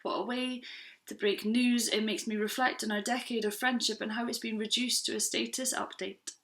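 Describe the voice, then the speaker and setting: A woman in her twenties from Scotland recites a spoken word poem in a rhythmic, earnest cadence. Her voice is bright and sits a little high. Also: fairly even intonation, moderate pace. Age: 20s. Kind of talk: spoken word poetry performance.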